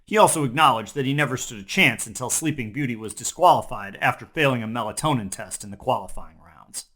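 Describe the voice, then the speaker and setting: Bright, forceful man reading spoof news. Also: mid-range voice, fast talker. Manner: mock-serious newscaster delivery. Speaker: comedy news host. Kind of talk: scripted parody news narration.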